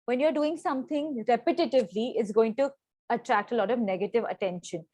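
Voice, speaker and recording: A woman's voice: fairly high pitch, brisk, mellow, no echo. A young woman with an Indian accent, coaching pageant contestants in an instructional talk and mixing Hindi phrases into her English. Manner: switches into Hindi phrases mid-sentence; clear, coaching tone with frequent "right?" checks. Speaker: pageant trainer.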